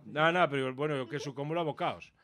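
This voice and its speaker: A man with a slightly high voice hosts a relaxed chat. He is informal and playful, leaning on slang and little jokes as he puts questions to a guest. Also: fast, a crowd in the background. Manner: informal, jokey, colloquial slang. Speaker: radio host interviewing a guest and taking song requests.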